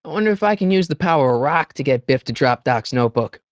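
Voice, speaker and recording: A man's voice: fairly high pitch, animated, fast, dry recording, studio quality, natural voice. A male actor playing an earnest, wisecracking teenage boy in a video game, with a youthful voice and a hesitant, slangy delivery. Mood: musing, exasperated. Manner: hesitant, stammering asides, wry slangy delivery. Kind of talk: video game character lines.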